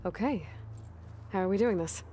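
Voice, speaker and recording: An actress playing a level-headed, guarded young heroine in a video game, with a dry, terse, practical delivery. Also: female voice, mid-range voice, normal speed, warm tone, faint music behind, close to an everyday voice. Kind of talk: naturalistic video game acting.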